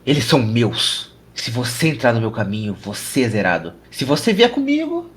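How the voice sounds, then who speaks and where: A man's voice: mid-range voice, sing-song, moderate pace, natural voice. A man with a Brazilian Portuguese accent playing a menacing, obsessed war-veteran journalist in an audio drama. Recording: music under the voice.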